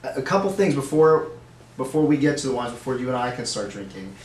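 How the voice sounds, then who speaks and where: A middle-aged man hosts a live webcast in an easy, upbeat manner, dropping fillers as he goes. His mid-pitched voice rings in a roomy space, with a faint hiss underneath. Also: lively intonation, quick pace, a little mellow. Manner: chatty, upbeat, many fillers. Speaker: host.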